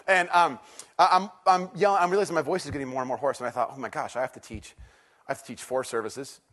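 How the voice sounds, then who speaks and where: A middle-aged man preaching as a teaching pastor, conversational and self-deprecating, swinging from loud excitement to hushed intensity before a church congregation. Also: mid-range voice, quick pace. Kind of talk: sermon.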